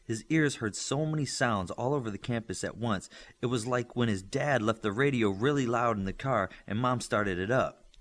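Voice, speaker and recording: A man reading a story aloud as scripted, expressive narration. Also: medium pitch, moderate pace. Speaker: audiobook reader.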